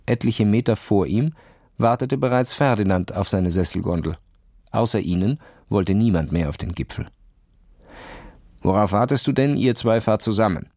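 A man's voice: lowish voice, vocal fry, mellow, dry recording, clean studio sound. A man reading a scripted story for children as a narrator. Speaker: audiobook narrator.

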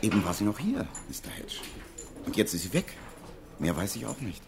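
An actor playing a harried, plain-spoken theatre stage manager in a German radio crime drama, slightly flustered and hesitant. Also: male voice, on the low side, big pitch swings, normal speed, creaky, noisy background. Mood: baffled.

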